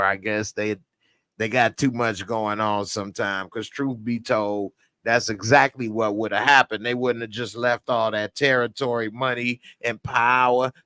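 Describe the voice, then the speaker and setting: A man's voice: lowish voice, pushed and bright, smooth, no room sound. A man giving a casual spoken review of a TV episode, blunt and slangy, sometimes breaking into heated rants. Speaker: TV series reviewer.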